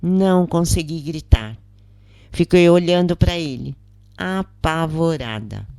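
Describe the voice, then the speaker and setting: A woman narrator plays a repentant lady spirit in an audiobook. She confesses frankly and deliberately, at a slow pace, in a dry recording. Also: deep voice, animated, a little mellow, no room sound, full character voice. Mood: grave recounting.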